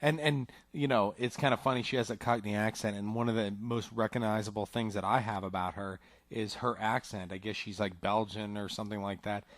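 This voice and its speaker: A man co-hosts a casual talk show in a fairly low voice. He speaks hesitantly, searching for his words. The recording is dry and close. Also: fairly even intonation, fairly quick, hardly any pauses, no echo. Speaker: podcast co-host. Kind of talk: casual chat.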